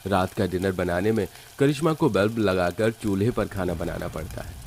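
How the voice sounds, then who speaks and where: A man narrating a cartoon story in a steady, explanatory way. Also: sits low, normal speed.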